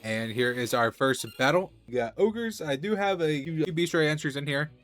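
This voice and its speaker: A man's voice: on the high side, moderate pace, soft background music. A man with a cold, sounding nasal, casually commenting as he plays a video game. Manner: relaxed, chatty, thinking aloud. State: has a cold. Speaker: gaming channel host.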